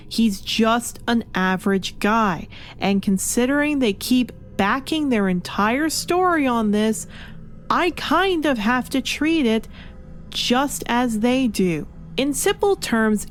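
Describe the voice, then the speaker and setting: Higher-pitched woman giving an opinionated review. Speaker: comic book reviewer. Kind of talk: scripted video essay commentary.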